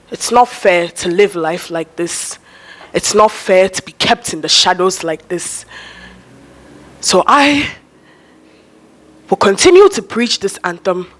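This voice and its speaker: A woman's voice: a little high, a bit bright, full character voice. A teenage girl playing a fervent freedom preacher in a theatrical, impassioned monologue. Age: teenage student. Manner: declamatory, impassioned. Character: fervent freedom preacher rallying an enslaved people.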